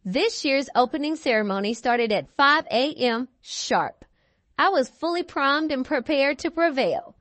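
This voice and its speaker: A woman plays a bragging cheese wheel from a storybook, high and swooping in pitch. The delivery is theatrical and melodramatic, with drawn-out emphatic words, on a dry, close recording. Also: animated, fairly bright tone, dry recording. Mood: smug, confident. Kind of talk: expressive children's picture book read-aloud.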